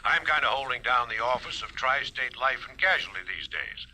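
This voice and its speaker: A man's voice: mid-range voice, fairly quick, bright, forceful tone, a little hiss or hum, rough recording. An actor playing a genial, folksy American businessman phoning an old pal in a 1950s radio drama. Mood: concerned.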